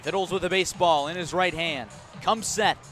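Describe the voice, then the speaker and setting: A man with a notably high voice and an American accent delivers live baseball radio commentary. He builds drama with short, clipped phrases. Faint music drifts in behind him from the ballpark. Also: moderate pace, a bit bright. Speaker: baseball play-by-play announcer.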